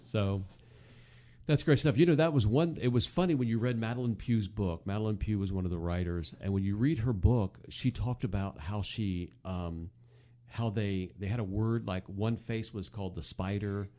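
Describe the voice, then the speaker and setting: A man's voice: lowish voice, moderate pace, dark tone. A man hosting a casual chat about classic TV comedy, talking in a rambling, enthusiastic way. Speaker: podcast host.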